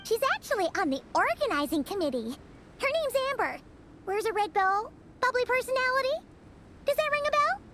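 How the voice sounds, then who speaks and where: A woman's voice: extremely high pitch, big pitch swings, brisk, sharp tone, slight background noise, heavily acted. A woman voicing a tiny floating female companion who is chirpy and blunt and calls herself by name. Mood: helpful. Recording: game cutscene with background music.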